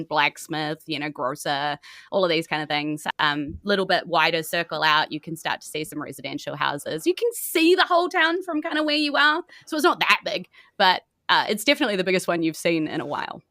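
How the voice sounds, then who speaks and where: A woman's voice: on the low side, bright, forceful tone, no echo, clean studio sound. A woman, improvising narration as the game master of a tabletop role-playing game.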